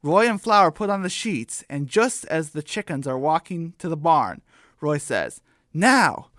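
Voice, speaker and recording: A woman's voice: low voice, expressive, normal speed, no echo, studio quality. A woman narrating and hosting a homemade children's radio play. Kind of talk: children's story narration and host sign-off.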